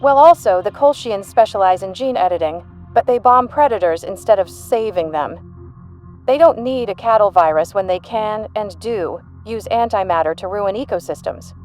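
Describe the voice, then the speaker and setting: A woman's voice: medium pitch, moderate pace, a little mellow, faint music behind, natural voice. A woman playing a shrewd, unflappable human intelligence general in an audio drama, composed, dry and authoritative. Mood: cool, assured.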